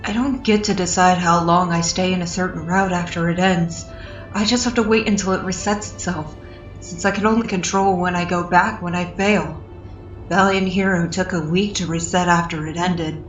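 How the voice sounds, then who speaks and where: A woman's voice: lowish voice, fairly even intonation, moderate pace, roomy sound, far from the mic, natural voice, low-quality recording. A woman voicing a weary but polite young male adventurer in a comic fan dub. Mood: subdued, apologetic. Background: music.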